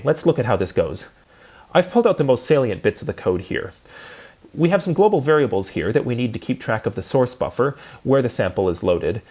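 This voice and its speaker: A man's voice: medium pitch, darkish tone. A man giving an instructional lecture, explaining things methodically step by step.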